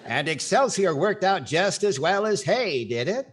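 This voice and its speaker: A man's voice: sits high, very animated, a little slow. An older man playing a wry, wisecracking comedy host in a 1940s radio style. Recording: clear background noise and clicks.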